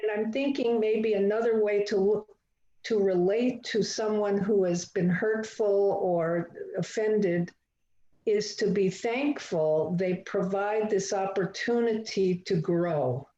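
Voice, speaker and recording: Slow, thoughtful woman on a call. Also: mid-range voice, dark tone. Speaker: study group participant. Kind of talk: reflective group discussion. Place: home, video call. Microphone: video call. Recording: roomy dull video call audio.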